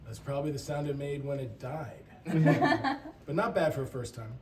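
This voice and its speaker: An actor playing a cocky, smirking ex-boyfriend and rowdy football superfan in a comedy table read, with a teasing, smug way of talking. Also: male voice, fairly high pitch, big pitch swings, moderate pace. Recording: noisy, roomy distant mic.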